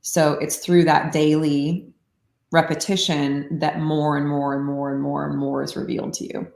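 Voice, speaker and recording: A woman explaining meditation practice in a calm, unhurried way, often saying "like", in casual podcast conversation. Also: low voice, vocal fry, echoey room. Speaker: meditation teacher.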